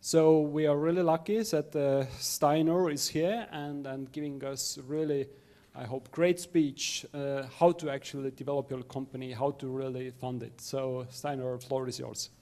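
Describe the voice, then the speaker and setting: A man moderating a seminar, introducing the next speaker haltingly with many fillers. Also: medium pitch, fairly even intonation, mellow. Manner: hesitant, many fillers, non-native phrasing.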